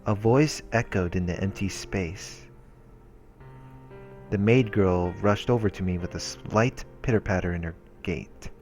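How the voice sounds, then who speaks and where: Low-voiced man reading a visual novel aloud. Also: unhurried, a little mellow. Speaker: let's play host. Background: background game music.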